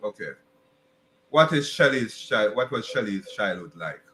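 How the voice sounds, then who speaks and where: A man's voice: fairly low pitch, big pitch swings, measured pace, bright, forceful tone, roomy sound, a little hiss or hum. A man from Jamaica, hosting a podcast interview and asking long, rambling questions with many restarts and repeated words. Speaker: podcast host.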